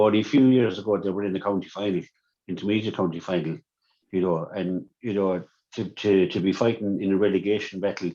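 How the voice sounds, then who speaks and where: A man's voice: on the low side, mellow. A man with an Irish accent giving sports analysis on the radio, repeating words and saying "you know" often. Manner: repetitive, many "you know" fillers. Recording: dull lo-fi sound.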